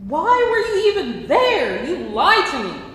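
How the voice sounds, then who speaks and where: Woman acting Scooter, a high-voiced defiant young lover. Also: big pitch swings, unhurried, a bit bright, full character voice. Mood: accusing, upset. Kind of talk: staged play reading. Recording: echoey hall, noisy live stage pickup.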